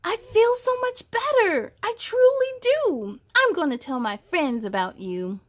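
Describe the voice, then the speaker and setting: A woman voicing a big furry male monster who is timid, gentle and polite, in a storybook read-aloud. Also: very high voice, expressive, measured pace, voice pushed up. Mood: relieved, grateful. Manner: polite, earnest.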